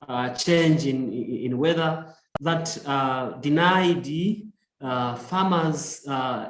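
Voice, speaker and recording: A man with a Tanzanian accent contributing to an online discussion, searching for his words in a halting way. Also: on the high side, slight background noise. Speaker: environmental organization worker, audience participant. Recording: roomy echo on online call.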